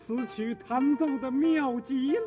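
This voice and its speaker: An actor playing an effusive, teasing gentleman scholar who speaks in florid classical phrases in a Chinese period radio drama. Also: very high voice, a little slow, over loud music. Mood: admiring, playful. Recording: phone-like lo-fi radio recording.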